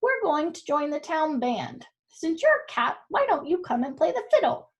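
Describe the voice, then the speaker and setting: A woman's voice: sits high, big pitch swings, moderate pace, voice pushed up. A woman voicing a cheerful male donkey who brays and leads the others eagerly. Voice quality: braying. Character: loud braying male donkey, cheerful leader.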